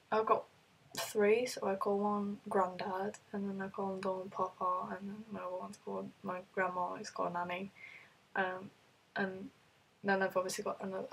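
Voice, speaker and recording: A woman's voice: mid-range voice, vocal fry, slightly rough, rough recording. A woman with a British accent chatting casually through a list of questions, rambling with lots of fillers while she is ill. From United Kingdom. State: ill, voice rough. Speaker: vlogger.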